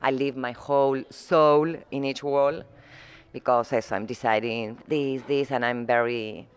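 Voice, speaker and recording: A middle-aged woman from Argentina, speaking English as an in a halting, searching way as an interview guest. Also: a bass voice, steady tone, clear, resonant tone. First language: Spanish. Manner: halting, searching for words, frequent restarts. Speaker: muralist guest. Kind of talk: casual interview conversation.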